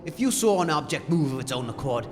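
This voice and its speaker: A man playing an insistent, demon-obsessed ghost hunter in an audio comedy, earnest and showmanlike, with a gravelly voice. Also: on the high side, very animated, fast, music in the background. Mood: persuasive. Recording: echoey hall reverb.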